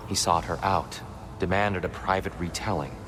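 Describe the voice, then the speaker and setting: A man playing a calm, grave, thoughtful mentor figure in a dramatic video game cutscene, speaking in a measured, questioning way. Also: deep voice, background noise, lightly acted. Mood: reflective.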